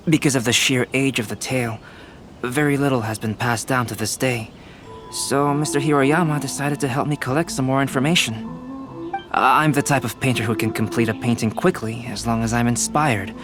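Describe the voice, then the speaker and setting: A man voicing a calm, polite, scholarly young artist in a video game, measured, composed and precise. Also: mid-range voice, lightly acted. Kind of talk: video game character acting. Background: background music.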